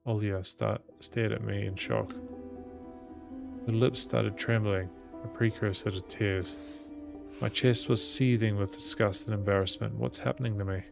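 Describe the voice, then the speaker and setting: A man reading a visual novel aloud as a gaming host, in measured storytelling with occasional stumbles. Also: lowish voice, fairly even intonation, creaky. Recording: game music behind the voice.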